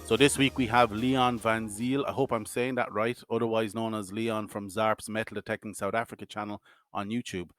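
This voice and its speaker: A man with a fairly low voice hosts a casual podcast interview, greeting his guest and firing off questions with friendly energy. Music plays clearly underneath his voice. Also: steady tone, normal speed, fairly bright tone. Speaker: podcast host.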